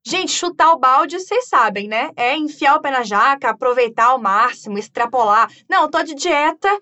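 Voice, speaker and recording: A Brazilian woman leads a relaxed language chat, chatty and lively and often laughing. Her voice sits high for a woman. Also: normal speed. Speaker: English teacher, host. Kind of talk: casual bilingual chat.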